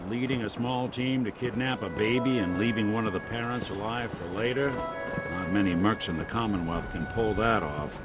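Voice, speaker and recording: A low-voiced, middle-aged private eye played by a man, wry and streetwise. He talks in clipped, hard-boiled noir patter, watchful and knowing, as a video game character over clearly audible music. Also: moderate pace, lightly acted. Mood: grim, wry.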